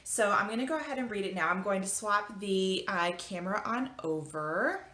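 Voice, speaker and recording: A woman teacher giving a storybook read-aloud for children, with expressive delivery. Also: medium pitch, normal speed, a little hiss or hum. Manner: expressive, animated reading. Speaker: elementary school teacher.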